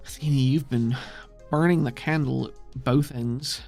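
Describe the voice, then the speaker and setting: A man's voice: medium pitch, fairly even intonation, a little slow, creaky, a little mellow, faint music behind. A man voicing a weary, worried woman official who sighs and speaks frankly in a tabletop game.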